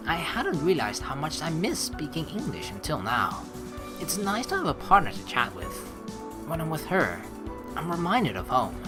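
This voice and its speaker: A young man from the Netherlands reading a game aloud and chatting casually, crude and joking, with swearing. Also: fairly high pitch. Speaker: let's play streamer. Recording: music behind the voice. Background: video game music.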